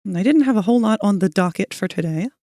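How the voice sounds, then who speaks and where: A woman's voice: medium pitch, lively intonation, fast, dark tone, no echo, clean studio sound. A woman streamer chatting casually with her viewers while sketching, her voice a little scratchy, greeting people by name and laughing often. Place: cold room at home.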